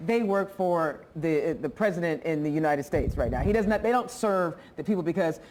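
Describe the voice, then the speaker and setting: A woman's voice: lowish voice, normal speed, darkish tone, slight background noise. A woman debating politics as a TV panelist, emphatic and combative.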